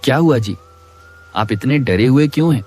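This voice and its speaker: A man voicing a calm, fearless stranger with a reassuring manner in a cartoon. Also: mid-range voice, moderate pace, soft background music, natural voice. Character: calm, fearless stranger at a night bus stop. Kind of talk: Hindi cartoon dialogue.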